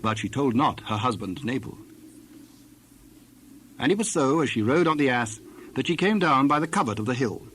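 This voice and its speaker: A man's voice: mid-range voice, animated, moderate pace, pauses often, fairly bright tone, a little hiss or hum. A man narrating a dramatized scripture recording from a script, in the role of storyteller.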